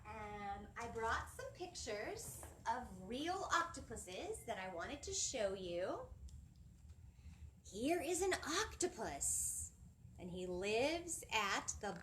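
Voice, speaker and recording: Female kids' storytime host, bright-eyed teacherly delivery with big melodic swings, slight room noise. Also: fairly high pitch, slow pace. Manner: animated, singsong, asking questions of young listeners. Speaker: children's librarian storytime presenter. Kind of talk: children's storytime teaching, addressing young viewers. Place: indoor library children's area, seated.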